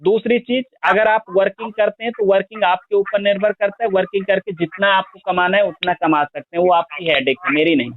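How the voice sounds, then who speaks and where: A man making a long sales pitch on a phone call, reeling off figures at length and pressing the listener. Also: fairly even intonation, brisk, few pauses, a little hiss or hum. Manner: persistent, explains at length with long lists of figures. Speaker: scheme promoter on a phone call. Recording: tinny phone line, dry.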